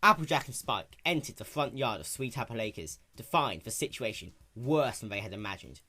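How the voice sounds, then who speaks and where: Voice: medium pitch, lively intonation, moderate pace, sharp tone, husky. A narrator giving a dramatic reading of a fan fiction story. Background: background music.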